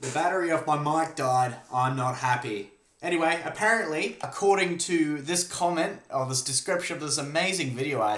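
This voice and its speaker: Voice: mid-range voice, a bit bright. A video presenter delivering scripted explainer narration.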